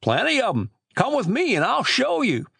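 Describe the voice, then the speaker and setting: A man's voice: very high voice, wildly swooping pitch, moderate pace, gravelly, fairly bright tone, breathy edge, no room sound, clean studio sound, pitched above the performer's own voice, full character voice. A man voicing a folksy old village storekeeper in a storybook, with rustic, ungrammatical country talk. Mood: indignant, determined. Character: folksy old male village storekeeper, aggrieved but generous. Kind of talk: storybook character voice.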